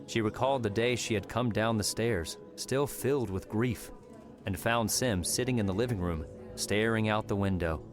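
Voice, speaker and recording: A man with a fairly low voice narrates scripted fiction. His storytelling is measured, and faint music plays behind him. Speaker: audiobook narrator. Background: soft background music.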